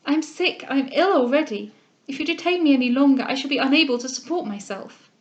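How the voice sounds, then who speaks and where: A woman voicing a distressed young gentlewoman, faint yet resolute, refusing with emphasis in a dramatic audiobook reading. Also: sits high, expressive, fast, highs cut off. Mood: faint, impatient.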